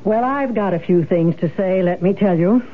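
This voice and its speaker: A bitter, sharp-tongued wife in a radio crime drama, acted by a woman. Her medium-pitched voice is pointed, sarcastic and accusing. It comes through a thin, narrow old broadcast sound. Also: moderate pace, slight background noise, natural voice. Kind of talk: 1970s radio drama acting. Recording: tinny, band-limited old radio broadcast.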